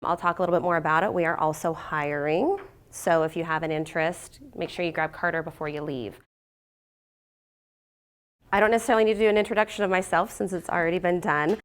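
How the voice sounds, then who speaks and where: A woman's voice: fairly low pitch, a little fast, smooth. A middle-aged woman getting over a cold, giving a conversational talk to a business group as guest speaker. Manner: conversational, with fillers and restarts.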